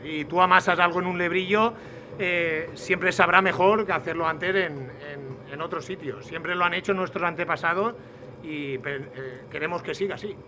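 Higher-pitched man with Alicante accent, masked. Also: bright, forceful tone, slight background noise. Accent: southeastern Spanish, Alicante. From Agost, Alicante province, Spain. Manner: halting, repeats words, frequent tag questions. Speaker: artisan potter, interviewee. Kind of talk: informal on-location explanation. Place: indoors in old pottery workshop and brick kiln. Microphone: handheld reporter's microphone.

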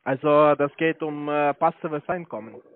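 A man with a foreign accent, not a native speaker, making a hesitant telesales pitch for investments. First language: not German. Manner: halting, many hesitations. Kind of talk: phone sales pitch. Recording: tinny dry phone line.

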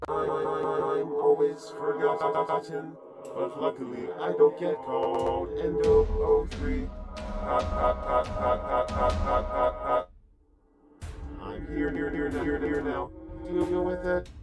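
A man's voice: a little high, huge pitch swings, slow, long pauses, loud music behind the voice, heavily acted. A man voicing a resentful, sardonic robot skeleton from a horror game.

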